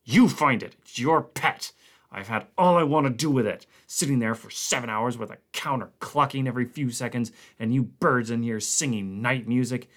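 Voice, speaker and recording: Man reading as angry expedition man, gruff, swearing, raised and loud, storybook reading. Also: a little high, expressive, moderate pace, pitched above the performer's own voice. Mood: irritated. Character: angry frightened male expedition member.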